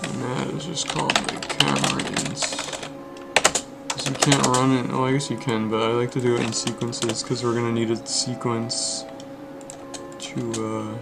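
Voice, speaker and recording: Chill monotone young dude coding on stream, says like and basically a lot, music going behind. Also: male voice, medium pitch, moderate pace, vocal fry. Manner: monotone, relaxed, lots of "like" and "basically". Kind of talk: casual coding stream walkthrough. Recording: music playing under the voice. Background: music and keyboard typing.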